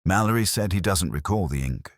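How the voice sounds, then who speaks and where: A man's voice: low voice, expressive, fairly quick, no room sound, clean studio sound, voice pushed up. A man voicing a dutiful police sergeant in an audiobook mystery, reporting plainly and deferentially with a mildly wry touch.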